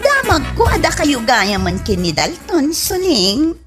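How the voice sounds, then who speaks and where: A woman's voice: high voice, wildly swooping pitch, unhurried, full character voice. A woman playing a boastful, whiny young pregnant woman in an Ilocano comedy radio drama, with an Ilocano accent. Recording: music bed behind the voice.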